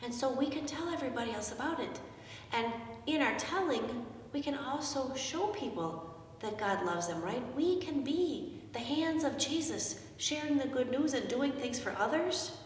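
Echoey, high-voiced woman pastor. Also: normal speed. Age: middle-aged. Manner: animated, simple explanatory delivery with questions to children. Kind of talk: children's message, storytelling from a picture book. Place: indoors in a church, seated before the altar. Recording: echoey church, distant microphone, noisy background.

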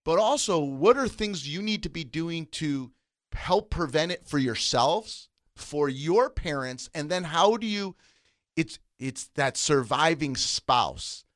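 A man talks up retirement planning in an emphatic, persuasive way. His voice sits a little high for a man, and the studio sound is dry and close. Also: animated, takes it slowly, fairly bright tone, dry recording. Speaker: co-host, financial instructor. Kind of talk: radio show talk.

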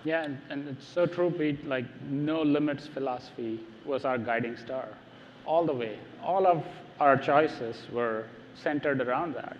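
A man's voice: mid-range voice, unhurried, slight background noise. A middle-aged man presenting on a large stage to a live audience, speaking emphatically and repeating words as he starts sentences. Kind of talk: technical keynote talk. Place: large dark stage before a seated audience. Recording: roomy hall reverb, dull sound, faint ambience.

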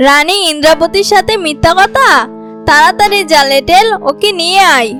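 A woman acts out a wicked fairy-tale cartoon villainess with a very high voice. She is haughty and spiteful, and music plays behind her. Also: moderate pace, a bit bright. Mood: furious. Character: vengeful, imperious fairy-tale queen, later a spiteful greedy girl. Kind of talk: animated fairy tale voice acting.

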